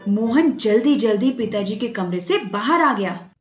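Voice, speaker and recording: High, lively woman storyteller over music. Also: a little slow, muffled recording. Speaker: storyteller narrator. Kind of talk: scripted children's bedtime story narration. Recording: roomy, dulled audio with background music.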